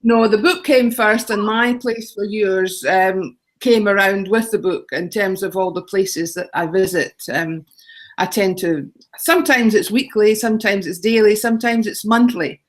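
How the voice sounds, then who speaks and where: Scottish woman, middle-aged, chatty, rambling, repeats herself, medium pitch. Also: fairly even intonation, measured pace. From Scotland. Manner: chatty, rambling, repeats phrases, frequent ums and laughs. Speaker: guest, home exchange author. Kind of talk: casual interview talk.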